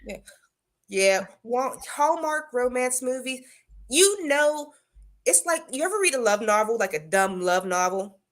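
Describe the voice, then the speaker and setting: Chatty Southern American woman, high voice. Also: unhurried. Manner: chatty, laughs often, animated storyteller. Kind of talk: casual live chat.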